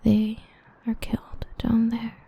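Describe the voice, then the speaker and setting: A young woman voicing an obsessive, protective half-demon villain in a hushed, intimate ASMR roleplay whisper. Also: fairly high pitch, monotone, creaky, slightly rough, muffled recording, exaggerated voice. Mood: hushed menacing. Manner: hushed, intimate, slow and brooding.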